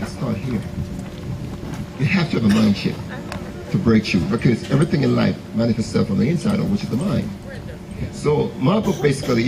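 Gravelly young man from Jamaica preaching. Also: medium pitch. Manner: gentle, preachy, with pauses. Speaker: author. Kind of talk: motivational speech. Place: outdoor stage under a tent. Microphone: handheld mic. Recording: noisy outdoor camera recording.

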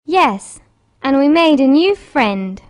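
Woman as curious cartoon girl, cheerful, high-pitched, very slow, careful delivery. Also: sing-song, heavily acted. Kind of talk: children's cartoon story acting.

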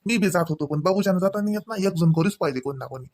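Man playing a high-voiced, chiding village father-in-law, Varhadi accent. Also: animated, rapid-fire, darkish tone. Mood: matter-of-fact. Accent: Varhadi Marathi. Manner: colloquial, admonishing, punctuated with questioning tags. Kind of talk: Marathi comedy cartoon dialogue.

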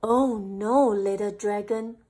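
A woman voicing a gentle, loving mother dragon in a storybook, tender and coaxing. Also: medium pitch, fairly even intonation, slow, slightly rough. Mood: tender, reassuring.

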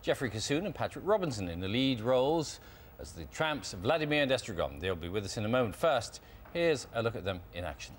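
A man's voice: mid-range voice, big pitch swings, brisk, hardly any pauses, a little hiss or hum. A man in his forties presenting breakfast TV in a studio, introducing guests and asking questions. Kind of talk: news presenting and interviewing.

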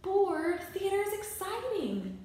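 An earnest, excitable schoolboy in a children's storybook voiced by a woman. He sounds lively and rather high. There is strong room echo around him. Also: slow, slight background noise, full character voice. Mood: puzzled, earnest. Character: eager, excitable schoolboy best friend. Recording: very echoey small bare room.

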